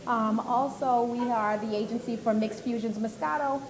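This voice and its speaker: A woman's voice: a little high, fairly even intonation, a little slow, a crowd in the background, rough recording. A woman introducing herself on a panel in an upbeat, chatty way, with frequent ums. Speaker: panelist, agency founder.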